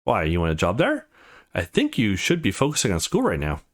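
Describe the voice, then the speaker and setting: A man voicing a friendly, caring adult woman from a visual novel, chatty and gently concerned. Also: sits low, huge pitch swings, fairly quick, voice pushed down, exaggerated voice. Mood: helpful, curious.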